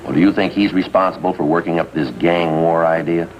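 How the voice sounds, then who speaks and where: A man playing a dry, world-weary American detective in a 1950s police drama, terse and deadpan. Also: low voice, fairly quick, muffled recording, close to an everyday voice. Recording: noisy vintage TV soundtrack.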